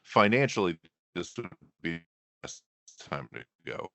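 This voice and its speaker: Brief: male podcast host, very low pitch, dry close sound, easygoing conversational manner. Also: moderate pace, gravelly, no echo. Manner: relaxed, conversational, uses "like" as a filler.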